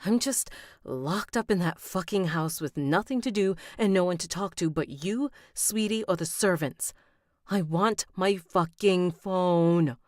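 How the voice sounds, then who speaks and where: A woman's voice: low voice, a little slow, darkish tone, no room sound, pitched above the performer's own voice. A woman voicing a furious young heroine held captive, who swears and is close to tears with anger. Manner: seething, swearing, near tears. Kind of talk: rehearsing an audiobook reading on a livestream.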